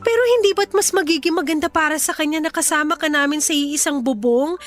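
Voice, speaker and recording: A woman's voice: high voice, fast, faint music behind, natural voice. An actress playing a clingy young mother in a radio drama, pleading insistently with her former partner.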